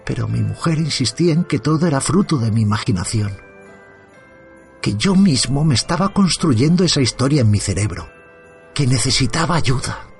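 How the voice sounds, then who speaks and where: Un hombre interpreta a un padre atormentado que narra un relato de terror en primera persona, con tono sombrío, medido y dramático. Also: male voice, mid-range voice, lively intonation, creaky, faint music behind. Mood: desperate, insistent. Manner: somber, measured, dramatic. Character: tormented father haunted by evil, telling his story. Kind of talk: first-person horror narration.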